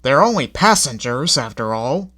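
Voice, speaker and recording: A man's voice: mid-range voice, sing-song, moderate pace, pushed and bright. A man voicing a stern old tram engine who scolds stubborn coaches. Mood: scolding.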